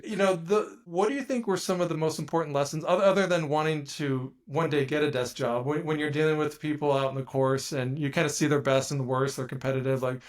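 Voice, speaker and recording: A man's voice: fairly high pitch, steady tone, quick pace, hardly any pauses. A man hosting a podcast, teasing his guest with jokes and stumbling over repeated words. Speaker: podcast host. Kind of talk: casual chat.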